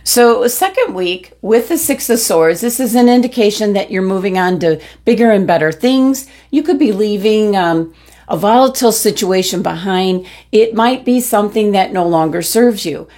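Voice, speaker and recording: A woman giving a weekly tarot reading, losing her voice, with a gentle, meandering delivery full of pauses and ums. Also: medium pitch, lively intonation. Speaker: tarot reader.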